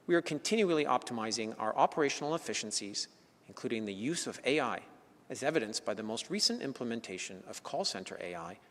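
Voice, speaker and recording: A man presenting as an insurance executive, reading measured points and stressing each new item. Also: mid-range voice, fairly bright tone. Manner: measured, enumerating points, rising emphasis on openers. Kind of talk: scripted corporate presentation. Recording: long hall reverb.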